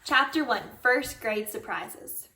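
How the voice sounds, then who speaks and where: A woman's voice: a little high, lively intonation, takes it slowly, bright, forceful tone, slight background noise. A woman reading a children's book aloud expressively as a storyteller. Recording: roomy echo, distant microphone.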